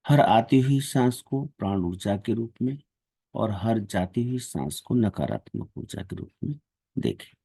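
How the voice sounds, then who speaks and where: A man leading a guided meditation and devotional prayers in a calm, measured, devotional manner. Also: on the low side, creaky, breathy edge, dry recording.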